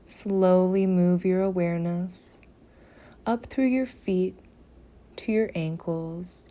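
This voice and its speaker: A woman guiding a meditation in a soothing, gentle manner, softly breathy at phrase ends, with long pauses between short phrases. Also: fairly low pitch, fairly even intonation. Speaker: yoga nidra meditation guide. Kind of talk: slow guided meditation.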